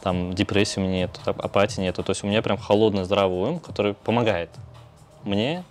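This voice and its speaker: A young man hosting a podcast, asking curious questions and talking calmly about himself, recorded on a lapel mic in a small studio. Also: lowish voice, fast, soft background music. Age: young adult. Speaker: podcast host. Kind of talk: casual interview chat. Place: small studio with neon lights.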